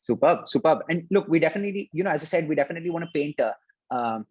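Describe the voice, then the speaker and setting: A male interview host speaks quickly in a slightly high, husky voice, offering affirmations before moving into long, structured questions. His voice comes through dry and muffled, like a lo-fi remote line. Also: lively intonation, no room sound. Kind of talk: conversational interview hosting.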